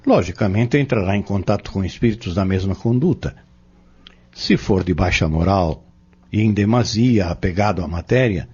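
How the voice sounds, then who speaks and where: Audio drama actor as male pharmacist-healer: Brazilian accent, very low voice, measured and solemn, muffled recording. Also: darkish tone, husky. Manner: formal, measured, preacherly phrasing.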